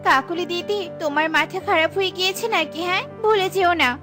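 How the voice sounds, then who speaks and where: A woman's voice: very high voice, fast talker. A woman voicing a small, honest and brave female bird in a cartoon who speaks earnestly and politely. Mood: determined. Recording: with light background music.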